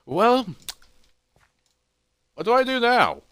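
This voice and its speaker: A man voicing an excitable, curious young adventurer who exclaims and muses to himself in a fantasy story. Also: extremely high pitch, takes it slowly, clean tone, no echo, pitched above the performer's own voice, full character voice. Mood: puzzled musing.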